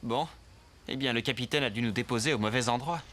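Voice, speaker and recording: A man's voice: mid-range voice, fast talker, very noisy, natural voice. A man voicing a puzzled, grumbling adult traveller in a French cartoon dub.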